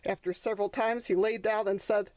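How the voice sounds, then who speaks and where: A woman's voice: medium pitch, steady tone, brisk, a little mellow. A woman reading her own children's book aloud as a storybook narrator.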